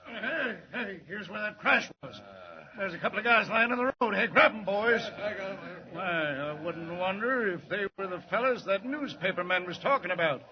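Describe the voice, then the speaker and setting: An actor playing an excitable small-town police chief in a 1940s radio drama, with a folksy, exclaiming manner. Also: male voice, high voice, moderate pace. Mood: surprised, folksy. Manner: folksy, rustic grammar, exclaiming. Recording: muffled old radio recording.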